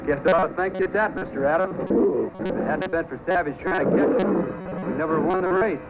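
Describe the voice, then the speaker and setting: A man's voice: high voice, brisk, lightly acted. A male actor playing a polite, eager young sled racer in an old-time radio drama. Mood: polite, sympathetic. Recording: noisy old radio recording.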